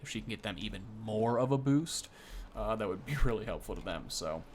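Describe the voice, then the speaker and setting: A man's voice: on the low side, lively intonation, a little fast, heavy background noise. A young man giving casual, off-the-cuff game commentary, thinking aloud informally as he goes. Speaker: gaming video host.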